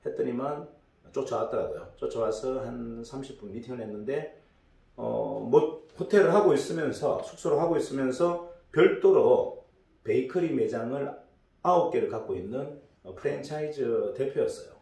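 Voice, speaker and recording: A hoarse middle-aged man giving a casual business lecture as a story, rambling with many fillers and restarts. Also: mid-range voice, moderate pace, dark tone. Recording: echoey room, distant mic.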